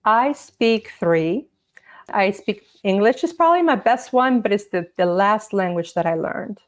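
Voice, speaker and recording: A woman's voice: medium pitch, expressive, a little slow. A woman guest whose first language is Portuguese, telling stories in a casual interview chat, full of "like" and "you know". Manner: chatty storyteller, lots of "like" and "you know". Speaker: podcast guest.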